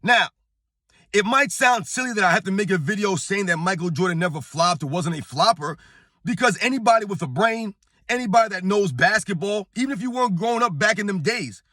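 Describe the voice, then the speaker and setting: A middle-aged man with a hoarse, worn-out voice rants to his viewers about sports. His voice sits high and sounds tense and bright, and he keeps calling them "guys" as he hammers his point home. The sound is close and dry, with no room around it. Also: animated, a little fast, no echo. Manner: emphatic, repetitive, addresses viewers as guys. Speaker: sports channel host. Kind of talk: casual talking-head rant. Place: on vacation at a beach.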